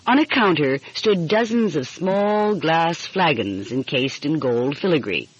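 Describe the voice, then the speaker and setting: A woman's voice: sits low, animated, a little slow, a little hiss or hum. A woman reading a mystery story aloud as scripted audiobook narration. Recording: muffled, dull lo-fi audio.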